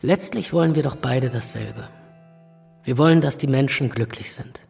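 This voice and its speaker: A flamboyant royalist pamphleteer in a radio play. His gravelly voice rings with long reverb, rhetorical and sardonic, over faint music. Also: medium pitch, moderate pace, a little mellow. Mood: sardonic, persuasive. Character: Louis Suleau, flamboyant royalist pamphleteer writing a letter. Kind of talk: radio drama letter monologue.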